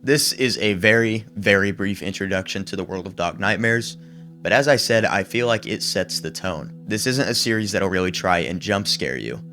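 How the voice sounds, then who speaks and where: A man narrating scripted horror commentary in a measured, explanatory way, with some casual asides. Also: deep voice, bright, forceful tone. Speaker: video essayist and narrator. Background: background music under the voice-over.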